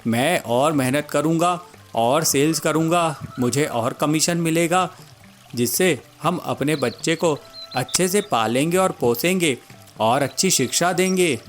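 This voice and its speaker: A man's voice: fairly high pitch, measured pace, soft background music, close to an everyday voice. A man voicing an earnest, hard-working husband bird in a children's cartoon story, speaking plaintively. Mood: eager, reassuring.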